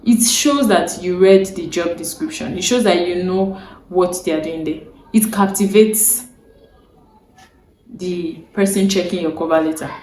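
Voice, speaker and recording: A woman's voice: on the low side, measured pace, a little mellow, a little hiss or hum. Earnest Nigerian woman in echoey room. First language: Yoruba. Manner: earnest, repeats key points, checks in with viewers. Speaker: career advice vlogger. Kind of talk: instructional talk to camera. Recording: roomy echo, faint ambience.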